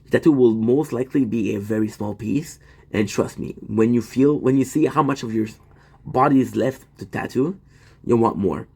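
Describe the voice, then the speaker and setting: A man's voice: mid-range voice, moderate pace, dark tone, husky. Man, rambling casual stream chat, answers the chat. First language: French. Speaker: livestream host.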